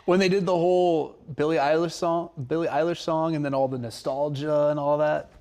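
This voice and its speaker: A man with an American accent chatting casually as a TV co-host, thinking aloud haltingly and repeating himself. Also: a little high, steady tone, dark tone. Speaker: TV news show co-host. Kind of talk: casual unscripted studio chat.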